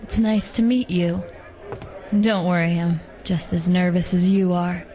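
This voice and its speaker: A woman voicing a polite, gentle female werewolf dancer who is secretly an assassin, in a hobby audio drama. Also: fairly low pitch, steady tone, measured pace, natural voice. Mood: coaxing. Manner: polite, gentle, softly coaxing.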